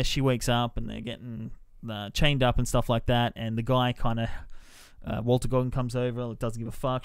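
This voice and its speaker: A man with a clear, mid-pitched voice hosts a casual podcast review. He swears freely and slips into mimicked dialogue while retelling things. The sound is dry, with no room around him. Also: no room sound. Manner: sweary, mimics characters in quotes. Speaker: podcast host reviewing films. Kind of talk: casual spoiler-filled movie review chat.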